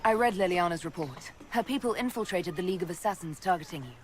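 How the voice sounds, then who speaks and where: A woman playing a composed, reassuring leader in a fantasy video game, talking in a calm, direct and measured way over background noise. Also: on the low side, lightly acted. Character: composed, reassuring female military-religious leader. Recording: clear background ambience noise.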